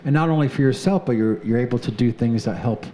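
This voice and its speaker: A man hosting a talk show, interviewing a guest in an earnest, halting way with many restarts. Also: medium pitch, warm tone, a little hiss or hum. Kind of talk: conversational interview.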